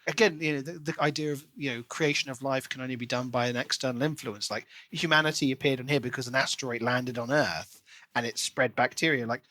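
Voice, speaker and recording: A man's voice: medium pitch, fairly even intonation, fast talker, pushed and bright, dry recording. A man co-hosting a podcast, casually discussing a book in a speculative, questioning way. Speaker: podcast co-host.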